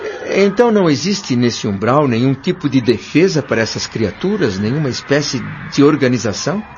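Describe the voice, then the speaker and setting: A man's voice: medium pitch, very animated, brisk, a little hiss or hum, lightly acted. A Brazilian man playing an earnest, inquisitive newcomer to the spirit world in an audio drama, speaking politely with searching hesitation. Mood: troubled, questioning. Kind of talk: audio drama acting, questioning dialogue. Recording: dull lo-fi audio over music.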